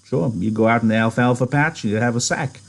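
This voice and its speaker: A practical, guarded ranch worker with a dreamy streak acted by a male reader. His phrasing is rough and rustic, in a medium-pitched voice, as a character in a novel reading. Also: fairly quick, close to an everyday voice. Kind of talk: audiobook-style character reading.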